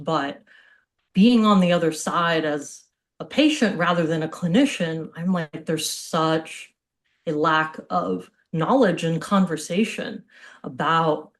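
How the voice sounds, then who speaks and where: A middle-aged American woman speaks in a slightly low-pitched voice. She presents as a physical therapist on a video call, keeping things informal, open and conversational. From Hawaii, United States.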